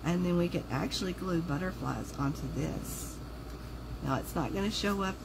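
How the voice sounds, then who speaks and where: A woman talks through a craft project in loose, chatty asides, her voice pulled low and rough by a sore throat. A steady hum runs underneath, as if the recording were made in a noisy room at her craft table. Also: normal speed. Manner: chatty, thinks aloud while working. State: sore throat, voice lower than usual. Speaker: craft video host. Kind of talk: casual crafting livestream chat. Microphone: overhead camera on the table, hands only in frame.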